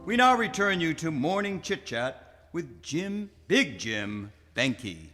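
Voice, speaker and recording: A man's voice: a little high, big pitch swings, takes it slowly, bright, forceful tone, heavily acted. A man playing a showy farm radio station announcer in a live comic radio play, drawing out his words. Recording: reverberant hall, music, live stage.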